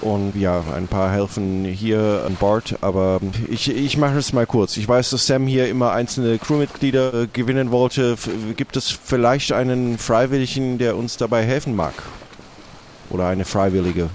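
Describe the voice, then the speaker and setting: A man playing a flustered, formal pirate guild official who speaks haltingly in an improvised role-play. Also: mid-range voice, steady tone, darkish tone, lightly acted. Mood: worried, businesslike. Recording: clearly noisy background.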